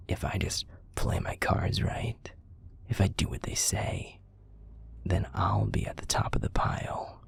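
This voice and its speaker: Audio roleplay casting: male actor for a bitter, threatening werewolf pack enforcer, deep and extremely husky, profane and gruff. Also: exaggerated voice. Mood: jaded, scornful. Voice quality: gravelly, husky, breathy. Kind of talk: scripted audio roleplay acting.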